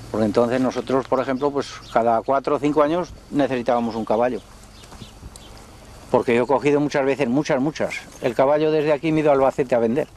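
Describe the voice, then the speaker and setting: An older man, a livestock trader telling unhurried, folksy stories in a documentary interview. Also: medium pitch, a little mellow. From Spain. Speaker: horse and mule trader.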